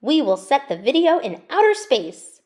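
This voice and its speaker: Bossy storybook boy voiced by a woman, eager, commanding, emphatic, brisk, young. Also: sits high, big pitch swings, dark tone, voice pushed up. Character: Grumpy, bossy eager young male film director. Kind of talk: children's storybook character voice.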